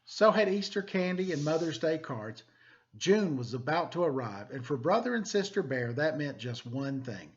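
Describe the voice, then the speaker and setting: Higher-voiced man reading to kids, slightly dull sound. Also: very animated, normal speed. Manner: playful, self-deprecating, chatty asides. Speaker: storytime host reading aloud to children. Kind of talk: children's picture book read-aloud with casual asides.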